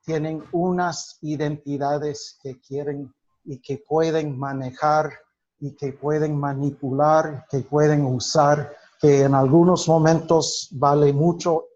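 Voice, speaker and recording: A male teacher talks his class through a lesson at an unhurried, deliberate pace, often restarting his phrases and putting questions to the room. His voice sits a little high for a man. The recording is muffled and lo-fi. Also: level tone, a little mellow. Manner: slow, halting delivery with many restarts, quizzing the class. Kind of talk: classroom lecture. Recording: dull lo-fi recording.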